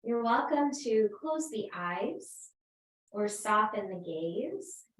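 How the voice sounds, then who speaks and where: A woman yoga teacher guiding a restorative class in a gentle, unhurried, invitational way. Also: a little high, animated. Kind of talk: slow guided restorative yoga instruction. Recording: roomy echo, distant microphone.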